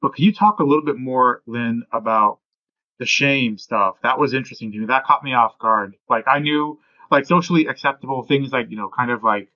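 A man's voice: mid-range voice, a little fast, dry recording, muffled recording. A man hosting a casual interview, speaking informally and thinking aloud as he goes. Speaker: podcast host.

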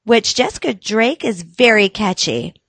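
Woman, podcast co-host, bantering, profane, lively pitch, dry close sound. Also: measured pace, slightly rough, dry recording. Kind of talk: casual chat, reading out listener answers.

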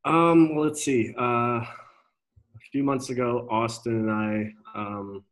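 A man's voice: medium pitch, steady tone, slow pace, rough recording. A young man from Denmark, a guest in a casual interview, who speaks hesitantly with many ums and restarts. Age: young adult.

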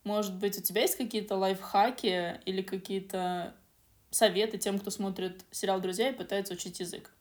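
A woman's voice: mid-range voice, fairly even intonation, moderate pace. Chatty woman co-hosting a podcast. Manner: chatty, with hesitant fillers. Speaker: podcast co-host. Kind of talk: casual podcast chat.

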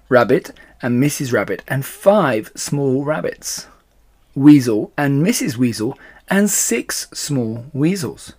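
A man reading a children's story aloud as an expressive storyteller. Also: medium pitch, breathy edge.

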